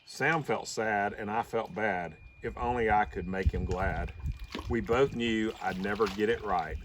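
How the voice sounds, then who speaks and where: A man reading a rhyming children's story aloud in an expressive storybook style. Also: on the low side, measured pace. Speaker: storybook reader. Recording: slightly distant mic, faint background noise.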